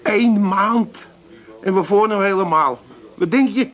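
An actor playing a cheeky small-time crook in a comic radio play, grumbling and wheedling with streetwise banter. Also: male voice, high-pitched, measured pace, a bit bright. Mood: aggrieved. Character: cheeky small-time Amsterdam crook, indignant about jail.

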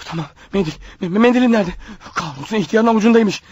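An actor playing a panicky, guilt-ridden clerk turned killer in a radio play, stammering and talking nervously to himself. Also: male voice, high-pitched, fast talker, darkish tone, breathy edge, lo-fi sound. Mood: nervous, muttering. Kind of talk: radio theatre acting.

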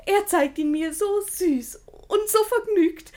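An actress playing a naive young ward in a classic radio play, speaking verse with artless, plaintive frankness. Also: female voice, very high voice, slow, a little mellow. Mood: innocently frank. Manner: innocent, plaintive, candid.

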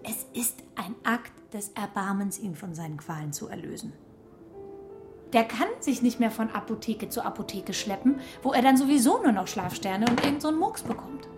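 A woman's voice: fairly high pitch, lively intonation, normal speed, over music. An actress playing a brazen, cynical woman in her thirties in a radio drama, flippant, blunt and persuasive. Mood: coolly persuasive. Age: 30s.

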